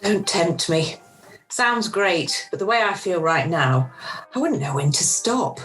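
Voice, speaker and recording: A woman's voice: on the low side, expressive, measured pace, fairly bright tone, soft background music. A woman playing a wry, self-deprecating, frazzled organiser in an amateur radio play. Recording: home recording over video call.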